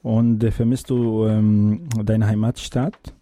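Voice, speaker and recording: A man interviewing young guests, asking questions gently and hesitantly with frequent fillers. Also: lowish voice, flat delivery, slow pace. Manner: hesitant, many fillers, encouraging.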